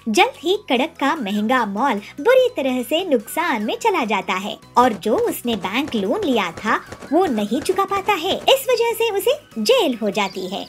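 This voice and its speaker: A woman's voice: high voice, a bit bright. A woman narrating a scripted children's story.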